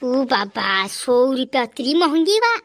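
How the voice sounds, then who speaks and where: A thrifty village woman in a cartoon, grumbling over prices in a Bhili dialect, as a voice actor puts her on. Her voice is high and sped up. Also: sing-song, slow pace, a bit bright, exaggerated voice. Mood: haggling.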